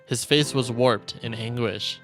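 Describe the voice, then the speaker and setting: Measured male storyteller over game music. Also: mid-range voice, a bit bright. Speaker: streamer reading a game aloud. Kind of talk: dramatic narration of visual novel text.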